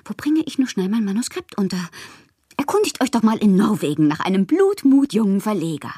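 Husky, breathy bohemian woman writer. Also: mid-range voice, big pitch swings, fairly quick, warm tone, no echo, studio quality. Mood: whimsical, anxious. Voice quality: husky, breathy, often dropping to a whisper. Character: lonely, whimsical bohemian woman writer, sharing letters. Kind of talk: literary radio monologue.

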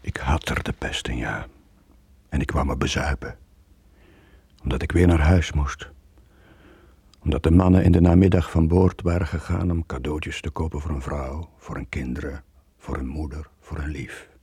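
Casting: middle-aged seaman, husky and dark, bitter, terse, radio play. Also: rumbling low, steady tone, moderate pace, long pauses, no room sound, exaggerated voice. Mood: bitter, brooding. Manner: brooding, terse, confessional. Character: bitter, lonely long-serving merchant sailor Joon. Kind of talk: 1980 radio drama acting.

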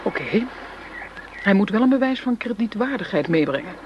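High-voiced, cool, teasing crime boss. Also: male voice, big pitch swings, moderate pace, highs cut off, slight background noise. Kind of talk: Dutch radio play acting.

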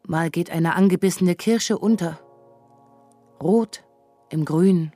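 A woman's voice: on the low side, takes it slowly, mellow, no room sound. A woman narrating a literary radio drama in scripted, descriptive prose. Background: music.

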